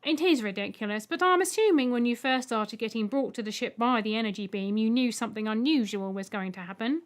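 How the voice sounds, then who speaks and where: A woman's voice: fairly high pitch, fairly quick, natural voice. A woman voicing a small male robot egg alien in a storybook, cheerfully oblivious, obliging and matter-of-fact.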